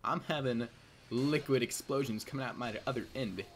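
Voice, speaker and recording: Young male host, average pitch, casual comedic storyteller with crude, self-amused delivery. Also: moderate pace, slight background noise. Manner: crude joking, laughing at own jokes. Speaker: video host telling personal stories.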